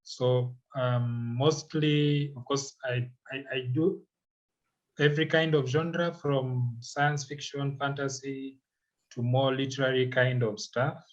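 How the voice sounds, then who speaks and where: A man introducing himself conversationally on an online panel, halting and restarting his phrases. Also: mid-range voice, fairly even intonation, muffled recording. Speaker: writer and filmmaker, panelist.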